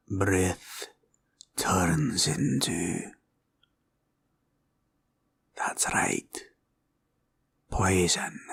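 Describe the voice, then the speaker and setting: A menacing green dragon speaks in a low, gravelly growl, drawling very slowly. The delivery swoops between breathy rasps and rumbles, sly and greedy, in an intimate fantasy roleplay style. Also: male voice, full character voice. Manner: slow, drawling, taunting, archaic turns of phrase.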